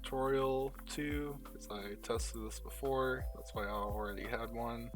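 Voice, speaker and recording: A man narrating a step-by-step software tutorial in a casual, informal way, talking through each step as he does it. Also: mid-range voice, fairly even intonation, measured pace, recorded from a distance. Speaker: tutorial host. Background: background music.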